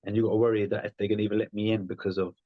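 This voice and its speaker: A man in his thirties speaks frankly as a podcast guest, his thoughts running in loose phrases full of "um" and "like". His voice is low. The sound is dry and comes through dull, lo-fi remote audio. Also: level tone, racing, no room sound. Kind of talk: conversational interview.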